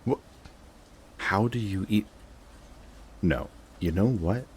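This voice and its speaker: A man's voice: fairly low pitch, slow pace, slight background noise. A man playing a sarcastic, jaded supervillain in a scripted roleplay audio drama, with a dry, taunting way of talking to an old rival. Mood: annoyed, sarcastic.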